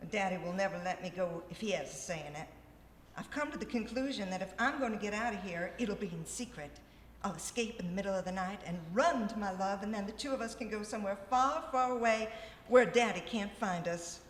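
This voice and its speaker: A woman's voice: mid-range voice, a little fast, lightly acted. A woman playing a dreamy, earnest young farm daughter in a comic radio play, gushing and melodramatic. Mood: earnest, yearning. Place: live on stage in a ballroom. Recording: echoey live hall, faint background noise.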